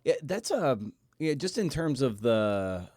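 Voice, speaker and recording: A man's voice: medium pitch, big pitch swings, takes it slowly, a little mellow, no echo, studio quality. A man hosting a podcast in a casual, chatty way with wry, jokey asides. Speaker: podcast host.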